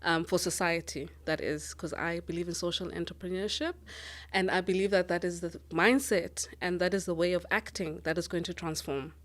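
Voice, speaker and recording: A woman's voice: fairly low pitch, fairly even intonation, normal speed. Earnest woman from Lesotho. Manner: earnest, thoughtful, with frequent ums and restarts. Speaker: guest, managing director. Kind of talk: interview answers.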